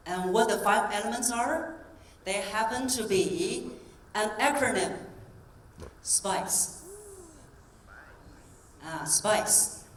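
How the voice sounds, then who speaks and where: A woman voice coach giving an energetic keynote talk, coaxing the audience through exercises on stage. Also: medium pitch, takes it slowly, pauses often, a little hiss or hum. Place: large conference hall on stage. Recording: roomy hall, distant mic, faint ambience.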